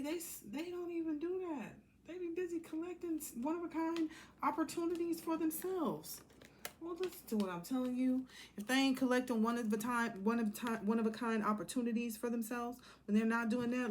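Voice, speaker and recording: A woman tarot reader talks in a casual, blunt monologue at a middling pitch. She wanders between asides in an informal way. Faint noise and small clicks sit behind her voice.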